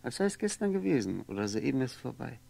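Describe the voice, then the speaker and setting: A lonely man lost in his memories in a 1960s radio play. His medium-pitched voice crackles with fry. He muses haltingly, wry and wistful, repeating words and trailing off. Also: very animated, dark tone, exaggerated voice. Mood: drowsy, wistful. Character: lonely drinking man, wistful, sardonic, brooding over memories.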